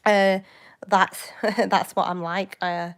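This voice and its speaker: Rambling young woman, smooth voice. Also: mid-range voice, level tone, slow. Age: 20s. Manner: rambling, hesitant, jumps between topics. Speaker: livestream host. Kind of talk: casual live chat with viewers.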